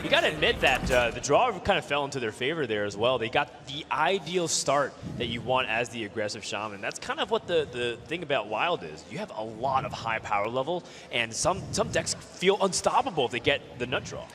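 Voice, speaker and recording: A man casting a live card game tournament, giving upbeat, lively play-by-play. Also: mid-range voice, brisk, hardly any pauses, background noise. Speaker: esports caster. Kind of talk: live esports commentary.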